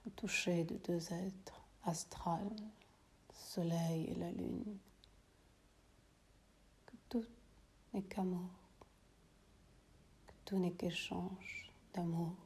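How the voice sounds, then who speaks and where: Very slow, hushed woman guiding meditation. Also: on the low side, fairly even intonation. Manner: hushed, breathy, often near-whispered delivery; soothing, unhurried phrasing with long pauses. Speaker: yoga nidra meditation teacher. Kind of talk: guided meditation.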